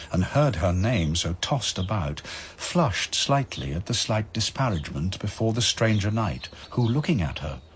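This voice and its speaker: A man's voice: fairly low pitch, a little hiss or hum. A man narrating a verse drama from a script in a solemn, theatrical manner.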